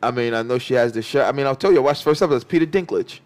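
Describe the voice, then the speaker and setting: A man's voice: mid-range voice, racing. A man hosting a sports talk show, chatting informally and often dropping "man" and "like" into his sentences. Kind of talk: casual sports and pop culture chat.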